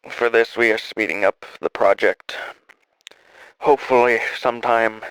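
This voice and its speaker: A man playing a dying, regretful scientist in an audio drama, speaking haltingly and wearily as he records a solemn log. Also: mid-range voice, fairly even intonation, a bit bright, clean tone, no room sound, low-quality recording. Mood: weary, hopeful. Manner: halting, solemn, weary delivery with trailing phrases.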